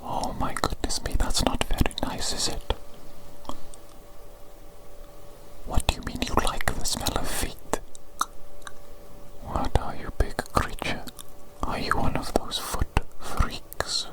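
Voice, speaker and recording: A woman playing a gentle, playfully sinister evil doctor in an ASMR medical roleplay, whispering breathily and soothingly and repeating phrases. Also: unhurried, close to an everyday voice, poor audio. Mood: mock scandalized.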